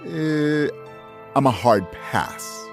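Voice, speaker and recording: A man's voice: mid-range voice, expressive, very slow, creaky, music in the background. A man speaking as a guest in a mock-documentary interview.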